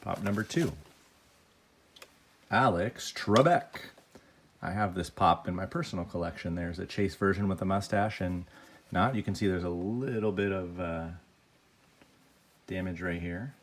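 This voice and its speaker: A man with a cold, his voice deeper than usual, chatting casually as he unboxes toys and grades each one. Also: measured pace, pauses often, a little hiss or hum. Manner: plain, chatty, grading each item out loud. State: has a cold. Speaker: toy unboxing vlogger.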